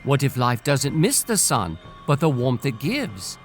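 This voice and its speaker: A man voicing a clever, teasing female fox companion in a storybook tale, wry but warm. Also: mid-range voice, huge pitch swings, unhurried, soft background music.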